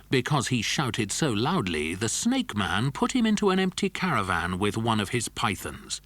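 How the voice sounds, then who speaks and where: A man's voice: on the low side, a bit bright, no echo. A man narrating a children's adventure story from a script, as in an audiobook dramatisation.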